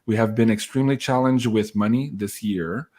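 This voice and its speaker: A man's voice: lowish voice, normal speed. Upbeat man hosting a livestream. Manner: upbeat, excitable. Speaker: livestream host reading viewer questions. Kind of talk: casual live chat and announcements.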